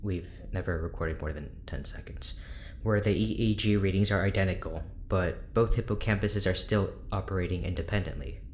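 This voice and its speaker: Guy playing tired reserved doctor, real low pitch, hesitant clinical talk, some ambient noise. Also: normal speed, lightly acted. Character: reserved, weary, uneasy research doctor. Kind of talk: audio drama acting.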